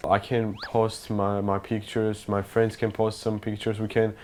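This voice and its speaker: Hesitant low-voiced man. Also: completely flat delivery, unhurried, a little mellow, slight background noise. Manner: hesitant, many fillers. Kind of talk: short statement to camera.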